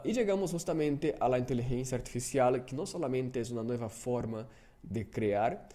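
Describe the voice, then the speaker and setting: A man's voice: medium pitch, warm tone. Man leading a workshop. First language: Portuguese. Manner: rambling, self-correcting, frequent "¿no?" tags. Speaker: workshop instructor. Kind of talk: live online workshop lecture.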